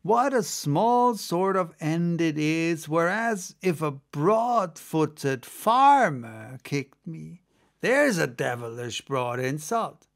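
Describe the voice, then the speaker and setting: A man playing an excitable second mate on a sailing ship, spinning a yarn in a dramatic audiobook reading. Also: fairly high pitch, slow, creaky, full character voice. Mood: animated yarn-spinning. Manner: conversational, exclamatory storytelling.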